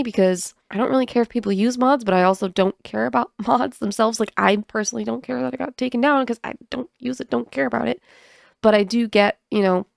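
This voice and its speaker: A woman's voice: fairly high pitch, creaky, dry recording, clean studio sound. A woman hosting a gaming commentary video, sick and congested, with a cough drop in her mouth, chatting casually with self-mocking asides. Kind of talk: casual commentary and reading aloud.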